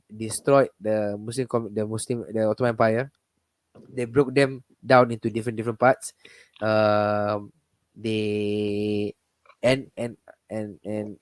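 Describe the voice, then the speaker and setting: A man's voice: on the low side, fairly even intonation, measured pace, darkish tone, no room sound. A man hosting a casual live discussion, talking in a rambling way with many restarts and fillers. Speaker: host.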